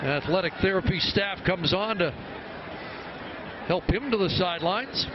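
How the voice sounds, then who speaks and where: High-pitched male football play-by-play commentator. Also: a little slow. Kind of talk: live sports commentary. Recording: muffled broadcast over loud stadium crowd noise. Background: stadium crowd.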